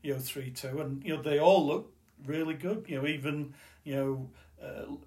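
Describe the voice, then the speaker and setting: A man co-hosting a football podcast, talking casually and filling every pause with "you know". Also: a little high, darkish tone. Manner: peppers speech with "you know". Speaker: podcast co-host.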